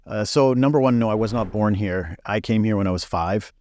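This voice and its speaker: A man's voice: on the low side, brisk, a little mellow, no room sound, studio quality. A man in his forties, born in Belgium, speaking frankly as a podcast guest with many fillers. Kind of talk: casual interview chat.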